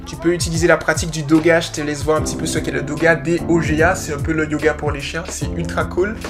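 A man's voice: a little high, level tone, quick pace, loud music behind the voice. A man with a slight Guadeloupean French accent hosting a dog-training podcast, giving chatty, enthusiastic advice and poking fun at himself.

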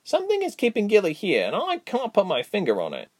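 An eager young woman adventurer from a storybook, put on by a man. The voice is raised high and moves in big swoops, expressive and warm. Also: fast, husky, no room sound, studio quality, heavily acted. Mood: quietly confident.

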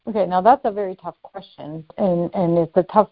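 A woman's voice: on the low side, a little fast. Woman, interview guest, direct and earnest advice, slightly muffled lo-fi recording. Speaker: guest, personal development expert. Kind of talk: interview answers, giving advice. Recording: slightly dull, lo-fi audio.

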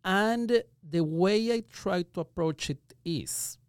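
A man from Ecuador in his thirties with an Ecuadorian Spanish accent, speaking as a guest in an interview and explaining his ideas in careful, measured phrases. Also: sits high, clear, resonant tone, dry recording, studio quality. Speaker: guest magician. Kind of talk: reflective conversational interview.

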